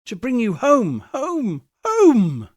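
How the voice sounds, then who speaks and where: A man's voice: very high-pitched, huge pitch swings, takes it slowly, dry recording, studio quality, pitched above the performer's own voice, exaggerated voice. A man voicing a gleeful, loving little girl in a storybook, speaking eagerly and affectionately.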